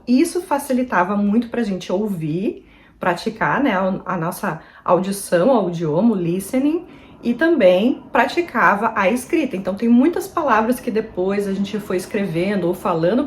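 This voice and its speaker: A woman's voice: on the high side, a little mellow, slight background noise. A young woman from Brazil chatting earnestly to her viewers in a casual advice vlog. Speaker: vlogger.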